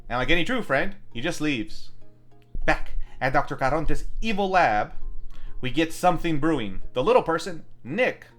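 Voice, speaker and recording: Man, higher-pitched voice, very animated delivery, scripted film review narration, wry asides, music behind. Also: normal speed. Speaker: host of a film review show.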